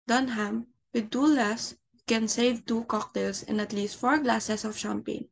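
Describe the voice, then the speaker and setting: A woman's voice: fairly high pitch, steady tone, measured pace, creaky. A woman playing a snobbish, domineering society mother in an amateur reading of a stage comedy, haughty and easily flustered. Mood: brisk, bossy.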